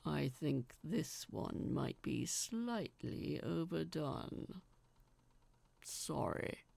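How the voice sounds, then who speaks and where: A woman voicing a huge male giant in a story, a gentle husband who talks in a mild, conversational, apologetic way. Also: low voice, lively intonation, creaky, heavily acted. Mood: casually apologetic. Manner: slow, conversational, mild-mannered.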